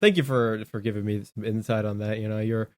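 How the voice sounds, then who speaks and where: Male podcast host, slightly low voice, blunt and dismissive, informal talk. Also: steady tone, brisk, darkish tone, no echo, studio quality. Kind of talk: casual political chat.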